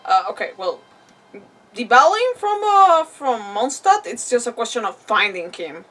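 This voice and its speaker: High-voiced woman streamer, a little distant. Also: very animated, fairly bright tone. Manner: halting, giggly, thinks aloud. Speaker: game livestreamer. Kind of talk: casual live gameplay commentary.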